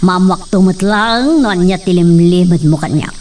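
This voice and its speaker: A woman's voice: on the low side, normal speed, a little mellow, lightly acted. A woman with an Ilocano accent playing a stern, commanding, wealthy middle-aged mother in an audio drama, imperious and scolding. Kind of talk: radio-style Ilocano drama acting. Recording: distant mic, faint noise, crickets behind.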